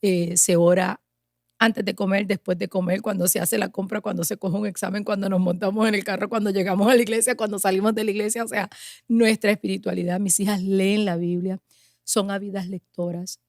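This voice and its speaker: A woman's voice: mid-range voice, little pitch movement, fairly quick, a little mellow, no echo, studio quality. A woman in her forties, a pastor and mother, answering interview questions in an earnest, emphatic way. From Puerto Rico. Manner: earnest, emphatic, lists with building rhythm.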